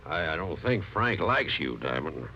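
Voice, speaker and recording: A man's voice: extremely low pitch, sing-song, unhurried, sharp tone, exaggerated voice. A man acting a plainspoken, insistent Western rancher in an old radio drama. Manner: folksy, plainspoken. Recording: muffled vintage radio recording, faint hiss.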